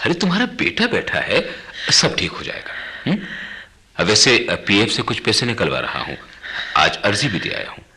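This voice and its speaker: A burdened, expressive dutiful son. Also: male voice, fairly low pitch, moderate pace, breathy edge, heavily acted. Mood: reassuring. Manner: expressive, repeats phrases. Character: worn clerk, dutiful son burdened by money worries. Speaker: radio drama actor. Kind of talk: radio drama acting in Hindi.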